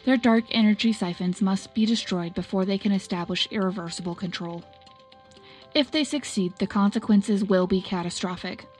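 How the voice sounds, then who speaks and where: Woman as composed wartime propaganda anchor; mid-pitched, formal, measured bulletin over music. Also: a little mellow, close to an everyday voice. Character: composed female military propaganda news anchor. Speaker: news anchor. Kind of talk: scripted wartime news bulletin.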